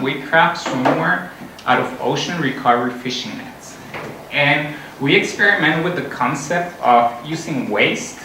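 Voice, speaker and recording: A man's voice: fairly high pitch, bright, forceful tone, slight background noise. A middle-aged man from Costa Rica giving an earnest conference talk, with frequent fillers. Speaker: conference speaker. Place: large conference hall. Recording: echoey hall from far off, music.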